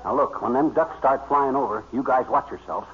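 An actor playing a wisecracking, bragging amateur duck hunter with folksy bluster in an old radio comedy, on a noisy, muffled recording. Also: male voice, medium pitch, brisk, a bit bright, close to an everyday voice. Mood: excited, boastful. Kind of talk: 1940s radio comedy acting.

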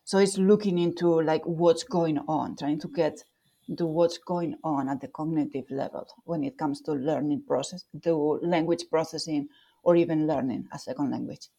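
Woman guest with a Spanish accent; low-ish voice, thoughtful, self-correcting. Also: fairly even intonation, normal speed, warm tone, slightly rough. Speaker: guest researcher. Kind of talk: explanatory interview talk.

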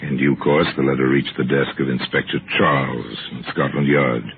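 A man narrating an old-time radio mystery as host, measured and storytelling in his delivery. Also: a bass voice, fairly even intonation, creaky, slight background noise. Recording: tinny old radio broadcast.

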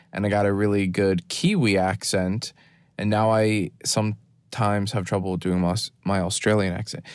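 A man giving a rambling, deadpan and self-mocking running commentary from a parked car, recorded on his phone. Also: lowish voice, dry recording. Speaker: podcast host. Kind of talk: rambling off-the-cuff monologue. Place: parked car in a store parking lot.

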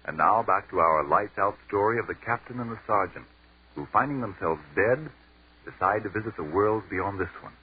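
A man's voice: on the low side, expressive, moderate pace, husky. A man announcing and reading a commercial in a persuasive, emphatic 1940s radio style. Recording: tinny old radio broadcast.

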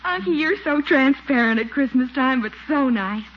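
A woman's voice: high-pitched, expressive, normal speed. A young American woman playing a teasing, affectionate niece in a 1940s radio comedy. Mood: fondly teasing. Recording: muffled, faintly noisy 1940s radio recording.

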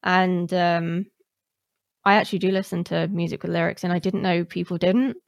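A woman's voice: on the low side, fairly even intonation, moderate pace, creaky, no room sound, clean studio sound. A woman hosting a podcast interview in a casual, chatty style.

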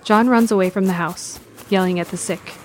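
A woman's voice: medium pitch, steady tone, fairly quick, heavy background noise. A woman reading scripted present-tense narration for an audio drama.

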